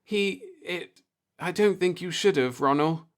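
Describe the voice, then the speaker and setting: An anxious, cultured spacefaring woman played by a man, pitched up from the narrator's register. She gives urgent, wary warnings in a science fiction story. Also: measured pace. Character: cautious, frightened civilized young woman from another galaxy. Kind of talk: audiobook character voice in science fiction.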